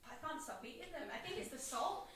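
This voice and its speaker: An actress playing a needy, playful young best friend in a naturalistic audio drama, with an eager, informal way of talking. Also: female voice, high voice, big pitch swings, fast talker, fairly bright tone. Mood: teasing, chatty. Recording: very echoey, distant, faint noise.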